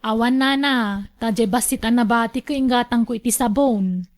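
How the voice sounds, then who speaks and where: A young household helper with a Filipino accent, very high in pitch, polite and inquisitive as a woman acts her in radio drama style. She is deferential to her elders but asks a lot. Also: moderate pace, close to an everyday voice. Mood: apologetic refusal. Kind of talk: Ilocano radio drama acting.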